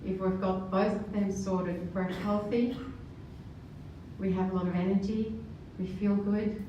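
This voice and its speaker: A woman's voice: mid-range voice, fairly even intonation, unhurried, mellow. A middle-aged woman from the Netherlands gives a motivational business presentation to a live audience. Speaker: business speaker. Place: roomy live event venue. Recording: echoey, distant, noisy room recording.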